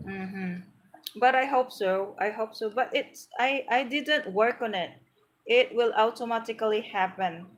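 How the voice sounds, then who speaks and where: A woman's voice: a little high, unhurried. A woman from the Philippines with a Filipino accent, earnestly giving English-speaking tips on a casual live stream and often restarting her phrases while she searches for words. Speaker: livestream host, English tips. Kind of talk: casual live stream chat, reading comments aloud.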